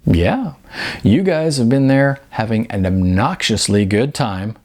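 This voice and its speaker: A man's voice: medium pitch, animated, a little slow, a little mellow, lightly acted. A man voicing an amused, teasing male stranger from the next restaurant table in a novel.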